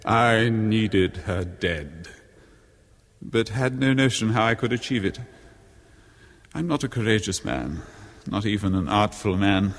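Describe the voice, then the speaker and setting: Jealous haunted husband in an old horror radio play, really slow halting delivery, big cavernous reverb. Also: male voice, on the low side, expressive. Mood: bitter, brooding. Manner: halting, theatrical, brooding storytelling. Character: haunted jealous husband confessing a murderous plot. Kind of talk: 1970s horror radio drama acting.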